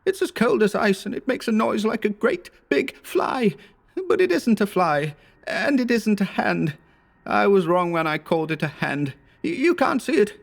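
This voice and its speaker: A man voicing a terrified, delirious young man who babbles in a shrill, sobbing voice, in a horror story reading. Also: sing-song, normal speed, gravelly, exaggerated voice. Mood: terrified babbling.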